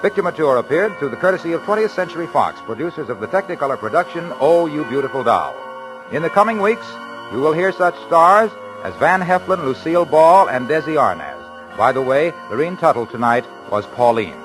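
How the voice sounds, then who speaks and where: Lively American old-time radio announcer man. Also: on the high side, a little fast, music in the background, rough recording. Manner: polished, emphatic. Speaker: announcer and sponsor pitchman. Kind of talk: 1940s radio announcing, scripted. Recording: vintage 1940s radio broadcast.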